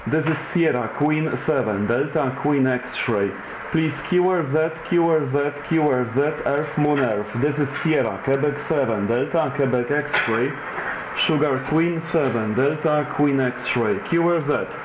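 A man working as an amateur radio operator, calling in deliberate, clipped, repeated phrases with phonetic spelling. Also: fairly high pitch. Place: indoor amateur radio station. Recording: dull, lo-fi, faint radio hiss.

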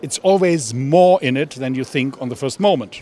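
A man's voice: mid-range voice, moderate pace, music in the background. A man giving thoughtful interview remarks in short phrases.

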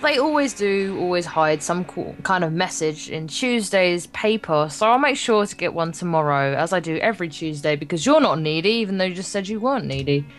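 A woman's voice: fairly low pitch, lively intonation, brisk, hardly any pauses, soft background music. A woman hosting a Let's Play, reading visual novel text aloud with informal, jokey asides and the odd stumble. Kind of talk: reading game text aloud with casual banter.

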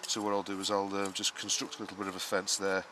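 A man giving a casual, explanatory gardening talk in a halting, unscripted way, as a vlogger would. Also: low-pitched, monotone, bright, forceful tone. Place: outdoor vegetable garden and greenhouse. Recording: clearly noisy outdoor ambience, uneven distance.

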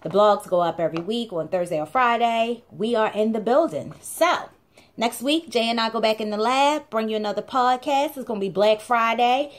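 A woman's voice: on the high side, normal speed. A young woman talks straight into her camera from a small room. Her delivery is chatty and playful, with emphatic repeated phrases that drive each point home. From Baltimore, USA. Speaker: blog co-host. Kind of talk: casual talking-to-camera vlog.

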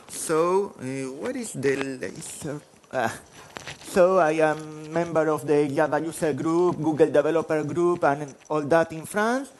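A man in his forties from Spain with a Spanish accent, giving a conference talk on stage, self-deprecating, restarting phrases. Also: fairly high pitch. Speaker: conference speaker. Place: large conference hall stage.

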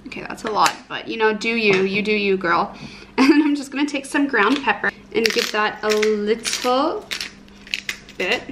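A woman's voice: mid-range voice, moderate pace, background noise. A woman in her twenties talking casually to her vlog viewers at home, bubbly, informal and self-deprecating. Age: 20s. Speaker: beauty and lifestyle vlogger. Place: indoors at home, living room and kitchen.

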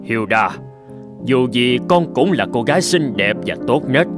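A man's voice: medium pitch, animated, unhurried, lightly acted. Music sits under a male actor as a middle-aged cartoon father. He is stern and proud, speaking with firm, protective concern. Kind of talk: animated fairy tale dubbing. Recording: background music under the dialogue.

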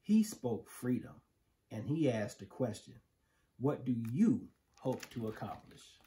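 A middle-aged American man reading a children's picture book aloud with measured, emphatic phrasing. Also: mid-range voice, big pitch swings, mellow, a little hiss or hum. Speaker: storybook reader. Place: living room, indoors on a couch. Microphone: camera a few feet away.

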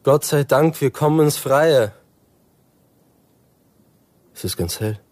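A man's voice: fairly high pitch, slow pace, a little mellow. A man acting a weary, dignified father of an outcast family in a German radio play, subdued and pleading. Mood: resigned. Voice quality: rough, husky.

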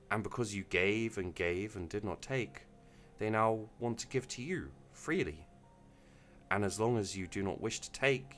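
A man playing an earnest storyteller who delivers a gentle moral in measured, solemn phrases. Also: low voice.

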